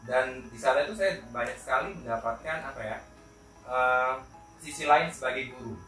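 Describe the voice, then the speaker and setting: A man from Palembang in Indonesia, a teacher and interview guest, telling his story casually and pausing to search for words. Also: mid-range voice, bright, forceful tone. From Palembang, South Sumatra, Indonesia. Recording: roomy echo, distant mic, faint music.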